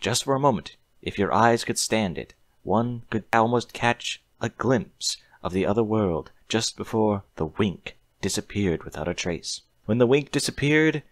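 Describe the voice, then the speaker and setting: A man's voice: fairly low pitch, no room sound. A man reading a story aloud in a scripted audiobook narration style.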